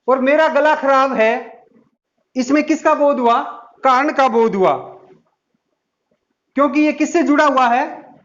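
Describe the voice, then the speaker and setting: A man's voice: very high voice, fairly bright tone. A man teaching a grammar lesson, dictating examples word by word and asking and answering his own questions. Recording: dull lo-fi sound.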